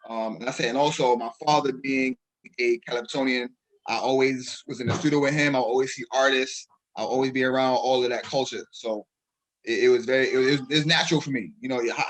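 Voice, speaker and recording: A man's voice: medium pitch, a bit bright, rough recording. A man from Brooklyn with a Bajan accent, talking as a poet guest in a radio interview, with lots of restarts and fillers. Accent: Barbadian (Bajan). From Brooklyn, New York. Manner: hesitant, many restarts and fillers.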